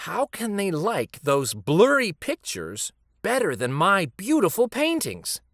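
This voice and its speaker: Guy doing wistful old street storyteller from a picture book, highish voice, expressive, gentle. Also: unhurried, no room sound, clean studio sound, full character voice. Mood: hurt, bewildered. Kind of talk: picture book read aloud.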